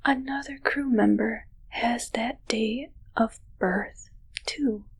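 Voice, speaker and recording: A woman in an ASMR roleplay voicing a soft-spoken, awkward alien posing as a ship's doctor, mostly whispering with halting, politely formal delivery. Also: a little high, gravelly, breathy edge, heavily acted, poor audio. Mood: hesitant, curious.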